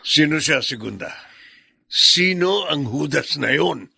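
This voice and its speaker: Raspy, forceful jealous husband. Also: male voice, fairly high pitch, lively intonation, slow, no room sound, heavily acted. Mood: suspicious, hurt. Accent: Filipino. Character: jealous, suspicious husband who backs down. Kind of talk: Filipino radio drama acting.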